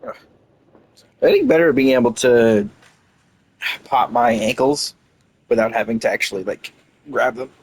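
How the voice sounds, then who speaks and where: A man's voice: mid-range voice, measured pace, pauses often, slightly rough, low-quality recording. A man doing casual gameplay commentary, rambling and thinking aloud as he streams. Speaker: game streamer. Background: game music.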